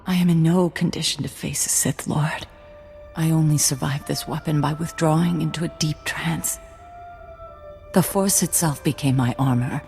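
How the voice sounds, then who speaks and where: A man playing a weary, wise mentor in a video game, speaking in a measured, solemn way. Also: fairly high pitch, steady tone, gravelly, a little mellow, faint music behind. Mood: weary, grave. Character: weary, wise male Jedi master, calm and grave. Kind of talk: dramatic video game acting.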